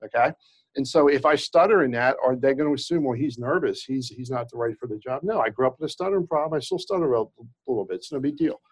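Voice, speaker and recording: A man's voice: medium pitch, quick pace, husky, rough recording. A man with a slight stutter, a lawyer explaining cases in casual interview talk with frequent restarts and fillers. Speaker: employment lawyer, guest. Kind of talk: conversational expert explanation.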